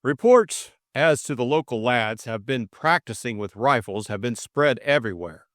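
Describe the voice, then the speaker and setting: A man's voice: mid-range voice, expressive, moderate pace, a bit bright, no room sound, voice pushed up, close to an everyday voice. Guy doing a blunt american crook, dismissive and casual, dry audiobook sound. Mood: assured.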